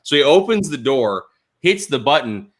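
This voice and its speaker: A man talks casually with a sharp, forceful edge to his voice. His pitch sits in the middle. He tells stories in an animated, informal way. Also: normal speed. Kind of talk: casual storytelling chat.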